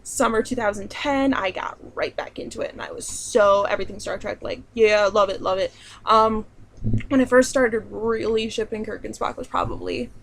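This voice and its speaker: A woman's voice: mid-range voice, normal speed, vocal fry. A young woman from southern New York with a southern New York and central Pennsylvania accent, chatting and rambling through a casual Q&A vlog with lots of ums and likes. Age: young adult. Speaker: vlogger.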